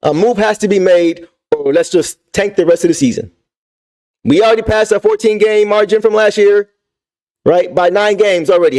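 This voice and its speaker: A young man with a voice going hoarse, hosting a livestream and venting about basketball, repeating his points with emphasis and talking to the chat. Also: high-pitched, darkish tone. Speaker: sports fan channel host. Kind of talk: livestream sports rant.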